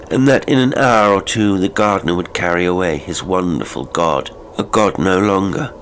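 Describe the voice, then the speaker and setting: A man narrating a classic horror audiobook in a measured, literary, theatrical manner. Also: sits low, fairly bright tone, slight background noise, poor audio. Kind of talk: dramatic horror story narration. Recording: music behind the voice.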